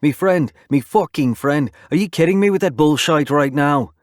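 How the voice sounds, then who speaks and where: A man voicing a furious young male fighter with a thick accent, snapping and shouting at a rival. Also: fairly high pitch, normal speed, no echo, clean studio sound, pitched above the performer's own voice, natural voice. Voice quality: thick heavy accent when angry. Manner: shouting, snarling.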